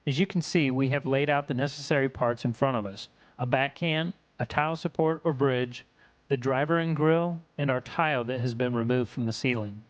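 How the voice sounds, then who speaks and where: A man reads scripted technical narration for an instructional video in a measured, instructional way. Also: medium pitch, no room sound.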